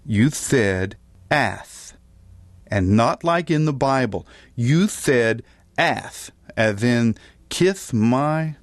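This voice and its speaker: A taunting, defiant son, acted out by a male narrator, in a mid-pitched voice. He is mocking and dismissive, drawing his words out slowly in an audiobook story. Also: animated, dry recording. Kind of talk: audiobook character voice.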